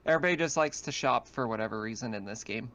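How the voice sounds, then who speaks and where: A man's voice: mid-range voice, quick pace, over a noisy crowd. A man explaining game details conversationally as the game master of an online tabletop session. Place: online voice call.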